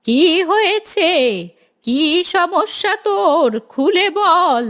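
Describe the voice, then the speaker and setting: A woman's voice: extremely high pitch, expressive, extremely slow, fairly bright tone, full character voice. A woman voicing a scheming, greedy old village witch in a cartoon, with a cackling, conspiratorial delivery. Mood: brusque, questioning. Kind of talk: cartoon voice acting.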